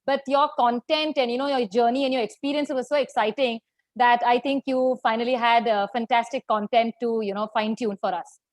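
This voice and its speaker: A woman's voice: a little high, steady tone, fairly quick, no room sound. Chatty woman hosting a webinar. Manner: chatty, filler-heavy questions. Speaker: webinar host, admissions consultant. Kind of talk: moderating a webinar panel.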